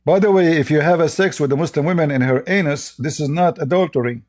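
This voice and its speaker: A man's voice: on the high side, quick pace, a little mellow. A man whose first language is Arabic, hosting in casual commentary, sarcastic and mocking, often repeating himself. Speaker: host.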